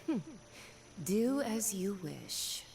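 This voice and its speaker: A woman's voice: medium pitch, very animated, extremely slow, creaky, a little mellow, heavily acted. A woman voicing a haughty, mocking villain in a video game, poised and condescending. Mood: dismissive.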